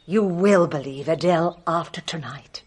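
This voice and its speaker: A woman's voice: low-pitched, normal speed, a little mellow, slightly rough. A woman playing an imperious, defiant wealthy madame giving orders in a radio drama.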